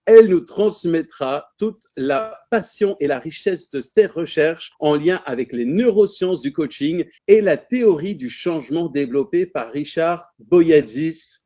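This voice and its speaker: A man hosting a webinar, introducing his guest by reading her biography aloud in a steady way. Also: a little high, highs cut off. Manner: warm, measured, reading a prepared text. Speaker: webinar host.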